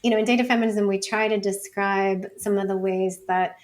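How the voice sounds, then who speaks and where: A woman with a medium-pitched voice talks as a guest in an interview. She explains things thoughtfully but informally, softening her points with casual hedges. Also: steady tone, fairly quick. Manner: thoughtful, informal, hedging with frequent "like" and "sort of". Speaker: podcast guest, author and academic.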